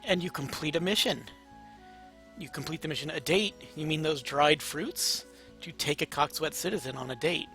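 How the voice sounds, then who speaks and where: A man's voice: on the high side, normal speed, vocal fry, bright, forceful tone, soft background music. A man narrating a story aloud in a casual, amateur, playful way. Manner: informal, playful.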